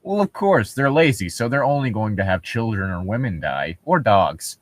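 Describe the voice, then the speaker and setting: A man talks as a podcast co-host in a dry, close-sounding space. His pitch moves around a lot as he delivers casual comic commentary, ranting sarcastically and swearing freely. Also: very animated, normal speed, no echo. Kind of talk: casual comedic commentary on read-aloud horror stories.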